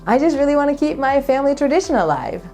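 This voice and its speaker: A woman's voice: high voice, big pitch swings, fast talker, dark tone, faint music behind. A woman in her thirties with an American accent, telling personal stories in an upbeat, friendly way as a vlogger. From Japan, raised by American parents. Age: 30s. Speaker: vlogger, channel host. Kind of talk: personal storytelling vlog.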